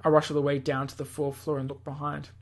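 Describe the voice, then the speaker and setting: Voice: mid-range voice, monotone, fast talker. A gaming video host reading a visual novel aloud with playful asides, often stumbling over words and self-correcting.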